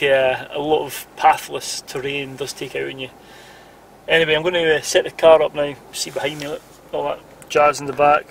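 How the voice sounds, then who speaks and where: A man's voice: gravelly, a little hiss or hum. A Scottish man in his forties chatting to camera from inside a car at night, rambling with small laughs. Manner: hushed and low at bedtime. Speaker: vlogger. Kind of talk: casual vlog to camera. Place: lying in bed in the back of a car. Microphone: camera on dashboard close up.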